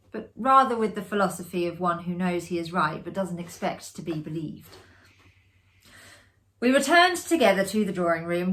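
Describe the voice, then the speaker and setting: A woman giving a measured, dramatic performed reading of a classic ghost story, with expressive pauses. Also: medium pitch.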